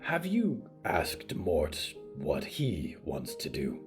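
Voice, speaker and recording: A man voicing a calm, gentle, perceptive woman in an audio horror drama, soft and unhurried. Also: sits low, faint music behind, voice pushed down, exaggerated voice. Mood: calm, conciliatory.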